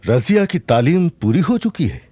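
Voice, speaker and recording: A commanding sultan from a historical radio drama, regal and declamatory. The voice is husky and very expressive, recorded close and dry, with a fatherly fondness beneath the measured authority. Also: fairly high pitch, dry recording. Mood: commanding, proud. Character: commanding Sultan, doting father of a warrior princess.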